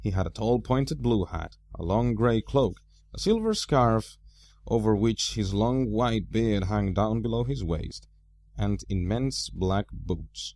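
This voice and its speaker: A man narrating a storybook aloud as an amateur reader, with expressive, deliberate phrasing. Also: fairly low pitch, no room sound.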